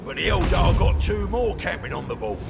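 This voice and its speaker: An actor playing a brutish, blustering orc in a video game. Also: male voice, a little high, very animated, brisk, over loud music, full character voice. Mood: rough, eager. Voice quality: gravelly, growling. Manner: crude, blustering. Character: brutish male orc warboss and his orc lads. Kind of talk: video game cutscene acting.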